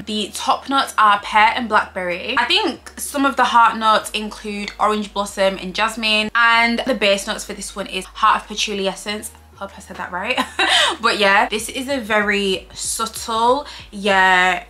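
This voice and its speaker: A woman's voice: medium pitch, pushed and bright. A young woman from Leeds with a Yorkshire accent, chatting casually to camera as a beauty vlogger in her bedroom. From Leeds, England. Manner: bubbly, talks with her hands. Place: bedroom, indoors.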